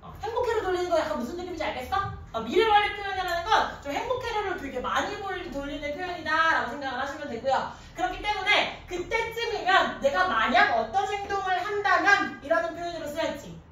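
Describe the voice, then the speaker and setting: A woman in her thirties teaching a grammar class in an animated, informal way, often quizzing students with questions. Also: very high voice, quick pace, hardly any pauses. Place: indoor classroom at a chalkboard. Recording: echoey classroom from a distance, faint noise.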